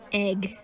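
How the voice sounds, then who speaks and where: A woman playing an odd, indecisive coffee shop customer in an audio drama, ordering in a halting, drawn-out way.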